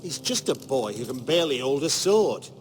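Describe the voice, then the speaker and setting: An actor playing a proud, hot-headed knight hungry for vengeance, indignant and scornful in a fantasy game drama. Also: male voice, mid-range voice, very animated, moderate pace, noisy background, lightly acted.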